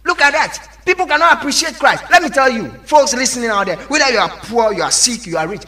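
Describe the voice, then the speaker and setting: A man's voice: very high voice, lively intonation, fast, sharp tone. A man preaching an evangelical sermon, exhorting emphatically and putting rhetorical questions to his listeners.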